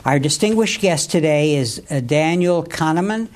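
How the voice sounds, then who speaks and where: A man's voice: fairly high pitch, expressive, normal speed. A man hosts a formal academic conversation, speaking in a slightly raised register. He reads a polished introduction, then asks questions hesitantly, repeating words as he goes. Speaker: host, interviewer. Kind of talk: academic interview.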